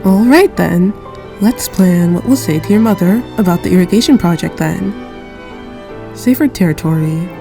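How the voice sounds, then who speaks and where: A woman voicing a grown former knight who mentors a younger friend, gentle and reflective, in a fan audio drama. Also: on the low side, moderate pace, creaky, over music. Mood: teasing. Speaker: voice actor.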